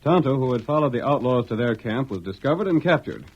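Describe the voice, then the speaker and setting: A man's voice: medium pitch, lively intonation, fast talker, breathy edge. A man narrating an old-time radio drama as announcer, in a formal, theatrical scripted style. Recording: muffled old radio recording.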